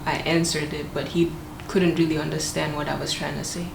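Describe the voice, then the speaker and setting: A woman's voice: low-pitched, little pitch movement, a little fast, vocal fry. A young woman raised in Gambia with a Gambian accent, speaking reflectively in a documentary interview. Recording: echoey room with noticeable ambient noise.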